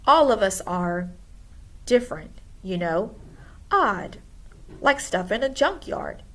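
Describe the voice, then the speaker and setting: A woman's voice: mid-range voice, very animated, slow pace, fairly bright tone, heavily acted. A woman voicing a gawky, friendly storybook schoolboy who talks in an eager, emphatic way. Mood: eager, confiding. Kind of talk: storybook character voice.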